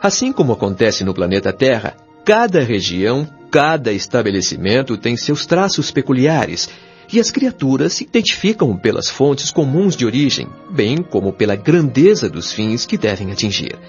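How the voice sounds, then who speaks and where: Guy playing patient brazilian spirit guide in an audio drama, lively melodic delivery, music behind, kinda dull sound. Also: mid-range voice. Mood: explanatory. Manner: measured, didactic, carefully enunciated. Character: Lísias, kindly patient male spirit guide and mentor. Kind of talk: audio drama acting, explanatory.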